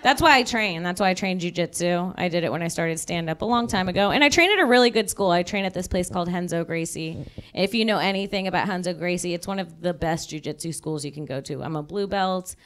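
A young woman doing stand-up on a club stage into a handheld mic, dropping dark punchlines in a chatty, deadpan way. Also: fairly low pitch, fast. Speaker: stand-up comedian. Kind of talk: stand-up comedy set. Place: comedy club stage before a live audience. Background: audience laughter.

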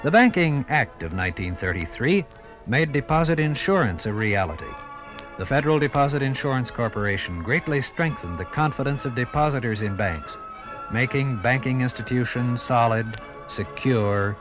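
A man with an American accent narrates a 1950s radio documentary. His delivery is formal and measured, with dramatic phrasing at a medium pitch. Music sits behind him on an old vinyl broadcast recording. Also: lively intonation.

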